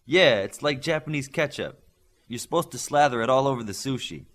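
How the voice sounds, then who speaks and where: A man's voice: mid-range voice, lively intonation, a little fast, fairly bright tone, lightly acted. A man voicing a cocky, joking teenage boy superhero in a storybook reading, casual and punchy. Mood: teasing.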